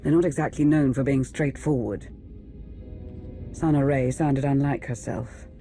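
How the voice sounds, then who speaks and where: Man acting game companion, dark soft-edged tone, cautious, caring, polite, wry. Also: mid-range voice, fairly even intonation, measured pace, soft background music, lightly acted. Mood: wary concern. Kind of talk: video game cutscene acting.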